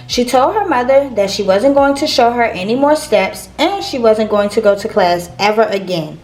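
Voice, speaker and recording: A woman's voice: a little high, lively intonation, moderate pace, a little mellow, rough recording. A woman reading a children's picture book aloud as a storyteller.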